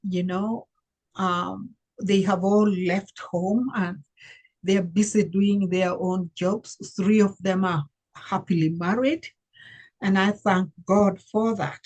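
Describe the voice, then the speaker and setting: Slow, husky older woman from Uganda. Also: mid-range voice, fairly even intonation, a little mellow, lo-fi sound. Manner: unhurried, reflective, with many fillers and searching pauses. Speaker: guest, life coach. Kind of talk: conversational interview storytelling.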